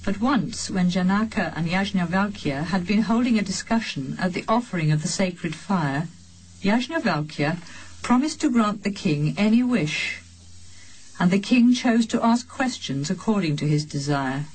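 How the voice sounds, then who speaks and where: A woman reading a sacred text as a solemn audiobook, with measured, deliberate phrasing and pauses between phrases. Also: fairly low pitch, animated, a little hiss or hum, rough recording. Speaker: reader of a sacred text translation.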